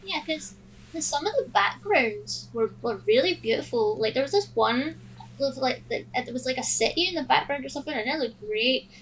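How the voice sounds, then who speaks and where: A woman's voice: high voice, animated, moderate pace, slight background noise, rough recording. A woman co-hosting a podcast in casual chat, hesitant and peppering her sentences with filler. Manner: hesitant, heavy use of "like". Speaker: podcast host.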